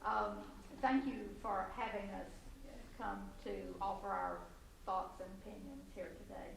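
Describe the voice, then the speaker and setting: A woman with a loud, gravelly voice speaks up at a council meeting, stopping and restarting her sentences often. She sounds set back from the mic in an echoey community room with faint noise underneath. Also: mid-range voice, fairly even intonation, far from the mic. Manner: halting, many fillers and restarts, light laughs. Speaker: resident giving public comment. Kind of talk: public comment at a city council meeting.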